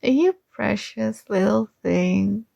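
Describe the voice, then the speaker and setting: A woman voicing a possessive young princess in an ASMR roleplay, talking in a soft, slow, coaxing and teasing way. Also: medium pitch, vocal fry, no room sound, exaggerated voice. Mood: sweetly commanding.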